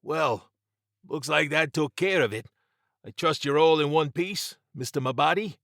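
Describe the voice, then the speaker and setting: Commanding American agent for horror audiobook, read by a man: assured, brisk, dryly understated, close dry sound. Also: a little high, vocal fry, fairly bright tone, dry recording, voice pushed up. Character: commanding, cool American military agent, male.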